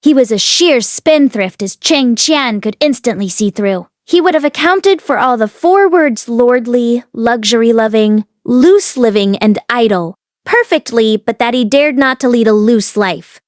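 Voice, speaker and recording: A woman narrates a novel in a fairly high voice, reading from the text as an audiobook reader. The sound is very dry, with hardly any room around it. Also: a little slow, no echo.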